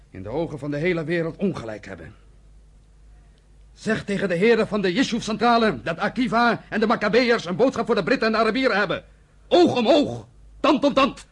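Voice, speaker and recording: A defiant underground fighter in a radio drama. His husky voice sits fairly high and swings widely. He declaims with bitter scorn and burning conviction. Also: a bit bright, heavily acted. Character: bitter, defiant militant younger brother, leader of armed underground. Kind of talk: Dutch radio play acting.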